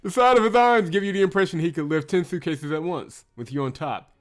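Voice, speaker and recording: A man's voice: high voice, a little fast. A man giving casual playthrough commentary with wry, teasing asides and reading narration aloud. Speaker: let's-play host. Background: game music.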